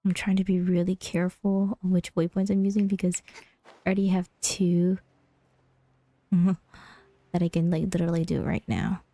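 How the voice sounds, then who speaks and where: Casting: female game streamer, soft dark tone, close dry sound, casually thinking out loud. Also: fairly low pitch, steady tone, normal speed, long pauses, no room sound. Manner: thinking aloud. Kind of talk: casual gameplay commentary. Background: faint game music.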